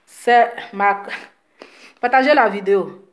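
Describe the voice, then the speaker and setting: A woman's voice: mid-range voice, takes it slowly, roomy sound, muffled recording. A woman, slightly sick with a cold, scolding her followers in a heated, repetitive live video rant. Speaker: social media commentator.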